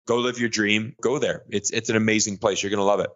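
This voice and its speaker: A man's voice: lowish voice, steady tone, fast, fairly bright tone. An American man being interviewed, talking enthusiastically with frequent restarts and fillers. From United States. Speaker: guest analyst. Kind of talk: conversational interview. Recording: muffled lo-fi audio.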